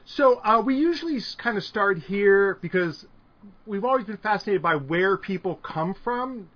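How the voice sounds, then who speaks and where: High-voiced upbeat male podcast host. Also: expressive, normal speed, lo-fi sound. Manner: upbeat, enthusiastic. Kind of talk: casual podcast interview.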